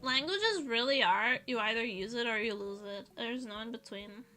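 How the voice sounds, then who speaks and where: A woman's voice: fairly high pitch, moderate pace, pushed and bright, soft background music. A woman streaming as a vtuber, rambling in casual chat and laughing often as she answers her chat.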